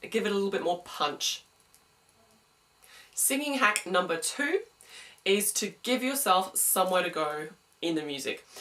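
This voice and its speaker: A young woman with a smooth, bright voice talks through singing tips in a relaxed, chatty way. She stands a little back from the microphone, so her voice sounds slightly distant. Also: mid-range voice. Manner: chatty, explanatory, with sung demos. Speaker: singing teacher. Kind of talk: vocal lesson to camera.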